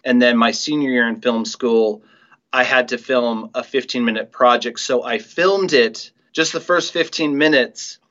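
A man's voice: mid-range voice, measured pace, fairly bright tone, highs cut off. A middle-aged man, a writer and director, telling stories casually as an interview guest.

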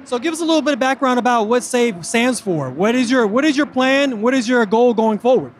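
A man hosting an interview in a lively, upbeat way, speaking outdoors at a loud racetrack. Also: extremely high pitch, brisk, a little hiss or hum. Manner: upbeat, hype-driven. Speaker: host.

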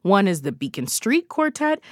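A woman's voice: mid-range voice, big pitch swings, normal speed, darkish tone, no room sound, studio quality. A woman recommending events as a guest, chatty, often saying "like" and "you know". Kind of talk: casual chat.

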